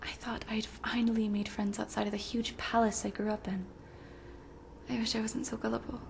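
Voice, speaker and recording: A young woman playing an earnest, sweet runaway princess in a video game, with a soft, sometimes breathy delivery. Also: fairly high pitch, little pitch movement, moderate pace, slight background noise. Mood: rueful. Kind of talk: video game character dialogue.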